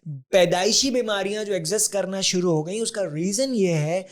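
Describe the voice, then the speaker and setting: A man's voice: high voice, normal speed. Earnest man in his forties. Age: 40s. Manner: earnest, explanatory, mixes in English terms. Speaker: podcast guest, health advocate. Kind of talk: conversational interview.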